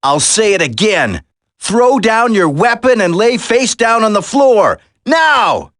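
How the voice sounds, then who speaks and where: A man's voice: sits high, very animated, slow pace, fairly bright tone, exaggerated voice. A man voicing an earnest, determined young commando in a video game, urgent in delivery. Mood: commanding.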